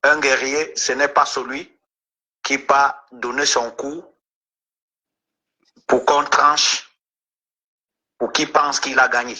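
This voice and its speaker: A man from Cameroon with an Anglophone Cameroonian accent, calling into a live stream and talking earnestly to calm someone down. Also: mid-range voice, slow pace, pauses often, husky, rough recording. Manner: earnest, calming, speaks in maxims.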